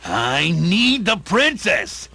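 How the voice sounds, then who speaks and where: An actor playing a grandiose, scheming reptilian dictator in a radio play, theatrical and commanding. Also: male voice, sits high, huge pitch swings, extremely slow, gravelly, sharp tone, breathy edge, full character voice. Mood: angry, commanding. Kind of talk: audio drama acting.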